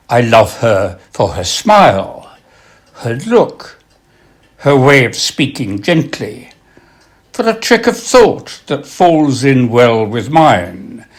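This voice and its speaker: A man reading poetry aloud in an expressive recital style, with measured, deliberate phrasing and pauses. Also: mid-range voice. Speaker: poetry reader.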